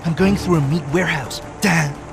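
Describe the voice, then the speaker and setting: Young male amateur superhero: high, swooping voice, cocky and geeky, game dialogue over loud music. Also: moderate pace, creaky. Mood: joking, confident. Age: young adult. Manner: flippant, talkative, pop-culture joking. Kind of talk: scripted video game voice acting.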